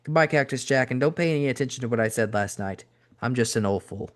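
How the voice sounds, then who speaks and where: A man voicing a gruff old wrestler from Texas leaving a mumbled, apologetic phone message with a Texan accent. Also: fast talker, close to an everyday voice. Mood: sheepish. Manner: low mumbling.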